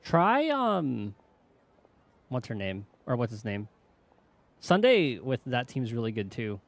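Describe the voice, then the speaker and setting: A game streamer giving casual live commentary, halting and musing to themself. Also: mid-range voice, sing-song, a little hiss or hum. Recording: streamer voice over game music. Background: game music.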